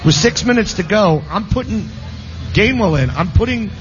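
Animated male sports radio host. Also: sits high, normal speed, faint music behind. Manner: animated, emphatic. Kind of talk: casual sports talk. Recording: muffled, noisy room recording.

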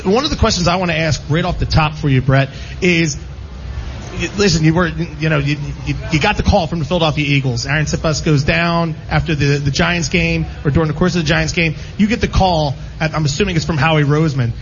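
A man's voice: a little high, fairly quick. A man hosting a live sports radio show, bantering and rolling through long introductions. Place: live remote broadcast at a casino. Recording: dull lo-fi broadcast with faint background noise.

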